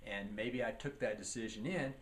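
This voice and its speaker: A man's voice: fairly low pitch, moderate pace. A man giving a leadership training lecture, teaching by posing questions and answering them, with emphasis on key words. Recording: clearly noisy recording.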